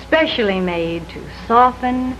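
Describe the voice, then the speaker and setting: A woman's voice: a little high, measured pace. A woman giving a scripted product demonstration for a 1950s television commercial. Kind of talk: scripted live television advertising pitch. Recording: dull, lightly noisy 1950s television broadcast.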